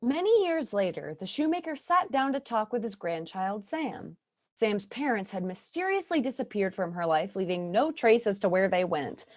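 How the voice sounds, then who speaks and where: A woman's voice: medium pitch, sing-song, normal speed, a little mellow. A woman narrating a stage fairy tale in a wry storytelling way.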